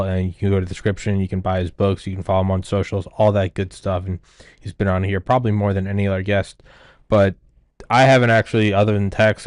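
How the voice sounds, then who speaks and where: A man with a fairly low voice hosts a casual podcast chat, talking loosely and poking fun at himself. The sound is dry and close, with almost no room around it. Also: a little mellow, dry recording. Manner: loose, self-deprecating, laughs often. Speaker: podcast host.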